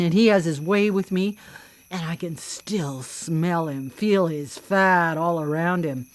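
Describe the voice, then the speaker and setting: Actress as a low-voiced, taunting female vigilante killer. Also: no echo, natural voice. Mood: bitter. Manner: mocking, cocky, coolly menacing. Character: vengeful, taunting female vigilante killer who hunts predators. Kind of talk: live radio drama acting.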